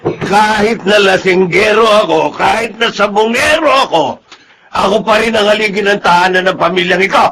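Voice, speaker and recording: A man's voice: high-pitched, normal speed, noisy background, lightly acted. A man playing a bullying old drunkard and gambler, a domineering grandfather who scolds his wife in a melodrama. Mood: angry, menacing. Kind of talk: Tagalog radio-style melodrama acting.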